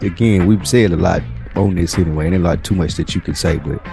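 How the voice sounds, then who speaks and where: A man's voice: sits low, quick pace. An American man co-hosting a casual podcast, speaking bluntly and emphatically in slangy everyday talk. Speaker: podcast co-host. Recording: music clearly playing underneath. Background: music.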